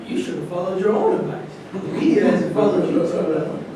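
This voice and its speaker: A man's voice: moderate pace, close to an everyday voice. An actor playing a skeptical, needling man with a challenging way of talking in a staged play reading. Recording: echoey room, distant mic, rumbly background noise.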